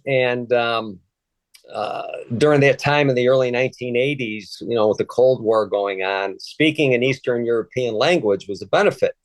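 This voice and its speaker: A man in his sixties from Chicago, a native Polish speaker, talking in a relaxed, hesitant way with many fillers and restarts as an interview guest. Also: mid-range voice, moderate pace, dry recording, poor audio. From Chicago, USA. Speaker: interview guest, retired Secret Service agent. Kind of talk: casual conversational interview.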